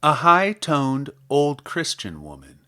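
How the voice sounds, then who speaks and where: A man reading scripted literary material aloud as a podcast host, in a measured, articulate, theatrical manner. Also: on the high side, big pitch swings, sharp tone. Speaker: podcast host and poetry reader. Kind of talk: scripted literary introduction and poetry recitation.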